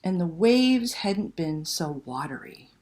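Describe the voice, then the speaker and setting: A woman telling a picture-book story to children in a dramatic, expressive read-aloud style. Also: fairly low pitch, slow pace. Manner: dramatic, animated delivery with hushed passages and exclamations.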